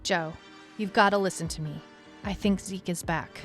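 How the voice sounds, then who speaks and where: A woman's voice: on the low side, steady tone, normal speed, fairly bright tone, natural voice. Woman as a wry female detective over music. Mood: urgent, pleading. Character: wry, anxious female detective narrating her own case. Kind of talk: noir-like scripted first-person audio drama narration.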